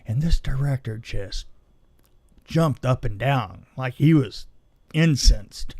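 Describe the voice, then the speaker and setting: A man telling a story casually on a podcast, in a halting, rambling way that often restarts mid-sentence. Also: medium pitch, animated, a little mellow, dry recording, studio quality. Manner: halting, rambling, self-interrupting delivery. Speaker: film special effects technician telling a story.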